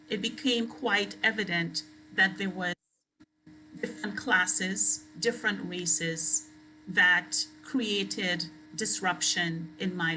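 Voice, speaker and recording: Bright-voiced woman lecturing. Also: medium pitch, a little hiss or hum, poor audio. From Trinidad and Tobago. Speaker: guest lecturer. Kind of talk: academic lecture.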